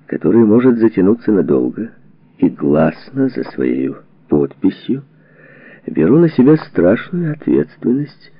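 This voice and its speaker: A man reading a historical book as a scripted narration, with a deliberate, formal, declamatory delivery. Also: lowish voice, vocal fry, dry recording. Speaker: audiobook narrator. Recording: narrowband phone-like sound, radio-like.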